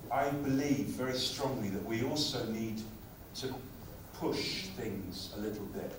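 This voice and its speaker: Guy talking at a teaching conference, chatty and lively, mic far off, big echoey hall. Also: medium pitch, measured pace, slight background noise. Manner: conversational, lively lecturing. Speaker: conference speaker on language teaching. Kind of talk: conference talk.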